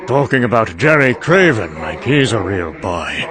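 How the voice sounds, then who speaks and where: A man's voice: fairly low pitch, big pitch swings, unhurried, crowd noise. A man playing a cynical scientist, delivering a dry, weary, sardonic dramatic monologue for a motion comic. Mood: sardonic, mocking. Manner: dry, sardonic, weary musing with trailing asides. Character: cynical, sardonic British male scientist briefing an American colleague.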